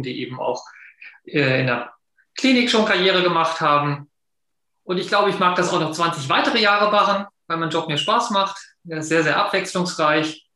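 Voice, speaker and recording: Upbeat financial advisor guy, high bright voice, roomy echo. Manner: enthusiastic, talkative. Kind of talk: casual chat. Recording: echoey room.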